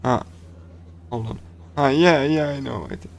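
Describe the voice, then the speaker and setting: A man streaming a game, chatting casually and reading along in a rambling, halting way with repeated phrases. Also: medium pitch, animated, vocal fry. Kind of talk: casual livestream chat while reading and translating a game.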